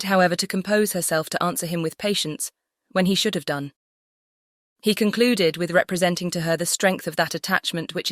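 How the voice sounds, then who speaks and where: A woman reads literary narration for an audiobook in a slightly low voice. Her phrasing is careful and composed, and the recording is close and free of room sound. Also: fairly bright tone, no echo.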